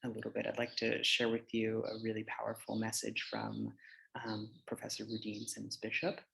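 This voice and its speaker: American male presenter, conversational and halting, lowish gravelly voice. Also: monotone, pushed and bright, low-quality recording. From United States. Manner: hesitant, conversational. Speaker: teacher giving a conference presentation. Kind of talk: informal slide presentation.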